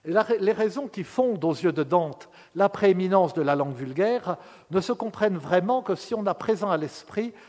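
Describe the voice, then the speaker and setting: Male academic lecturer, slightly high voice, measured delivery with hesitations, long hall reverb. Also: lively intonation, darkish tone.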